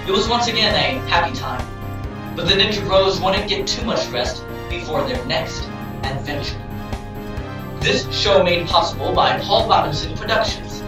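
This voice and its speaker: A man reading scripted, dramatic storytelling narration for an amateur audio drama. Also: high-pitched. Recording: echoey, distant hobby recording, loud music.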